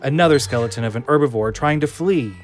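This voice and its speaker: Man, medium pitch, scripted audio drama narration, descriptive, music behind. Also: quick pace.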